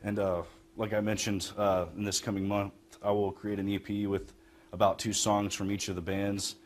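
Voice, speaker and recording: A young man giving an informal project presentation as a student, in a hesitant, halting way. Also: on the low side, completely flat delivery. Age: young adult. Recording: noisy room recording.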